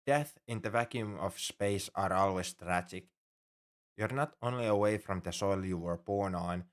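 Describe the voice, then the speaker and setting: Man acting as an anxious, low-voiced alien crewmate. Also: a bit bright. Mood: relieved, tender. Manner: earnest, hesitant, slightly formal phrasing. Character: anxious, caring male alien crewmate on a spaceship. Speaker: ship crewmate tending a sick human. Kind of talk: ASMR roleplay acting.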